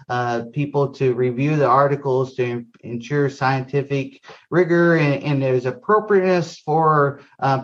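Stammering man on a dull lo-fi line. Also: mid-range voice. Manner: stammering restarts, many fillers. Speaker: guest, research director. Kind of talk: interview answers. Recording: dull lo-fi sound.

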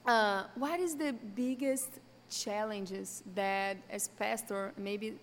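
A woman's voice: mid-range voice, slow, long reverb tail, slight background noise. A woman from Brazil with a Brazilian Portuguese accent, interviewing a guest on a podcast in an affirming way. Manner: warm, affirming, frequent "mm-hmm". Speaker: podcast host.

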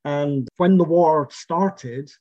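A middle-aged man, telling about his family's past in a measured, reflective way, with fillers and restarts. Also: on the high side, dark tone, no room sound. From Scotland. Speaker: interviewee. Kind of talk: oral history interview. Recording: dull, lo-fi and dry.

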